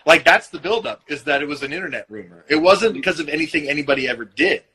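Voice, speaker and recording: A man's voice: a little high, lively intonation, quick pace, pushed and bright, husky, low-quality recording. A young man co-hosting a wrestling podcast, talking in a rambling way with lots of restarts. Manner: rambling, many restarts and fillers. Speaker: podcast co-host. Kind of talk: casual wrestling chat.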